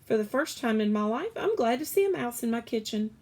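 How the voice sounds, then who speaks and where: Woman acting out a hearty, high-pitched, excitable cook. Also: fairly quick. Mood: amused, boisterous. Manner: hearty exclamations, folksy speech. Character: fat, jolly, excitable female palace cook.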